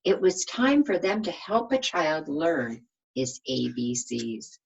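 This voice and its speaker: Slow, low-voiced woman reading to young children. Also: sing-song, creaky, husky, low-quality recording. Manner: simple explanations with coaxing questions. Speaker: kindergarten teacher. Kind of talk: storybook read-aloud with explanations for young children.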